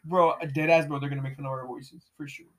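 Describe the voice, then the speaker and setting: A young man with a fairly high voice hosting a casual podcast, talking in laid-back slang, swearing freely and laughing often. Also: steady tone, quick pace, fairly bright tone. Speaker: podcast host. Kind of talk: casual chat with heavy swearing.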